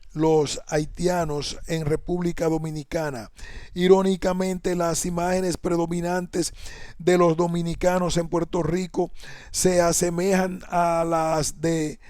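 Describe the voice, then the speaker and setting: Slow, flat-voiced man reading aloud. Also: on the high side, dry recording, clean studio sound. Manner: measured, even reading with occasional self-corrections. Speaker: essay reader. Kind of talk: reading an academic essay aloud.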